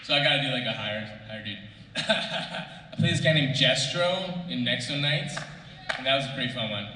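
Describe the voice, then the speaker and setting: A man's voice: medium pitch, expressive, moderate pace, pushed and bright. A man chatting casually on a panel in a loose, slangy, joking way. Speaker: voice actor panelist. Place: large convention panel room. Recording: very echoey hall, distant mic, faint noise.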